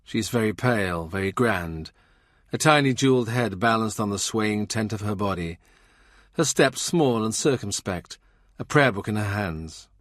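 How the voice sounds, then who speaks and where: A man narrating a historical novel as an audiobook, with measured, deliberate literary phrasing. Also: fairly low pitch, lively intonation, a bit bright, no room sound, studio quality.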